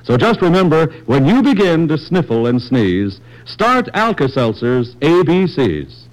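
An American man working as a radio announcer, delivering a scripted sponsor pitch on a vintage 1940s broadcast. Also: big pitch swings, unhurried, rough recording.

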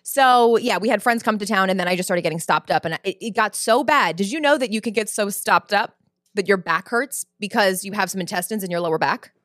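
A woman's voice: medium pitch, a little fast, fairly bright tone, no echo, studio quality. A young woman co-hosting a casual comedy podcast, telling jokey stories in a playful way. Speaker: podcast co-host.

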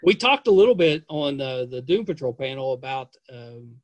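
A man's voice: mid-range voice, sing-song, moderate pace, no room sound. A man hosting a podcast interview, enthusiastic and prone to repeating himself. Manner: enthusiastic, rambling, repeats phrases. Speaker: podcast host. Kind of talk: casual interview chat.

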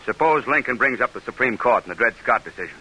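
Proud american senator in old radio play, super forceful n sharp, bit rough. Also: male voice, mid-range voice, lively intonation, quick pace, full character voice, rough recording. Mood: worried, calculating. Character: proud, shrewd middle-aged male senator running for re-election. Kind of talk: old-time American radio drama acting. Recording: vintage radio broadcast recording.

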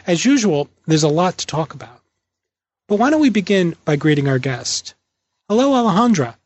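A man hosting an interview podcast, introducing the show and speaking with a guest in a measured, bookish way. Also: on the high side, sing-song, a little mellow, dry recording, highs cut off. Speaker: podcast host interviewing an author. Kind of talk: scripted introduction, then conversational interview.

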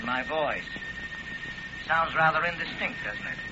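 A polite creepy research doctor, genteel hesitant manners, muffled old radio play with loud hiss. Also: male voice, on the high side, lively intonation, unhurried. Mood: coolly sinister. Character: polite, coolly murderous male doctor obsessed with research. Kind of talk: old-time radio drama acting.

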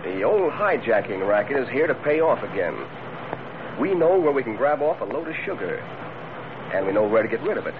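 An actor playing a pushy, smooth-talking gang leader in a 1940s radio drama, slangy and needling. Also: male voice, mid-range voice, sing-song, a little fast, lightly acted. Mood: threatening, bossy. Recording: tinny, noisy old radio recording.

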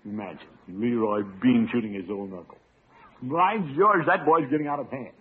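A man's voice: mid-range voice, normal speed, natural voice. An American man playing a pompous, exasperated middle-aged uncle in a vintage radio comedy, blustering and grumbling. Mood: indignant. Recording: vintage radio, narrow-band, noisy.

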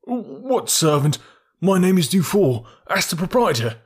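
A man's voice: medium pitch, a little slow, creaky, fairly bright tone, breathy edge, heavily acted. A man voicing a frightened male ex-servant accused of murder, who stammers and protests.

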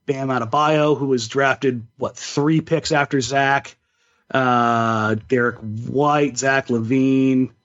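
A man's voice: mid-range voice, steady tone, takes it slowly, fairly bright tone. A man co-hosting a sports podcast, talking casually and giving his opinions in long, thinking-aloud explanations. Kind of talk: casual sports talk and analysis. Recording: slightly dull, lo-fi sound.

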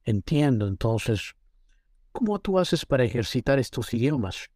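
Man, interview host, friendly, affirming, asks questions, mid pitch, dry close sound. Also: sing-song, measured pace, mellow, no echo. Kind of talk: casual interview.

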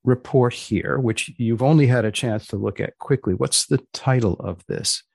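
A man hosting a podcast, introducing and asking questions in a deliberate, deadpan manner. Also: medium pitch, vocal fry, breathy edge, no echo. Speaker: podcast host and magazine editor.

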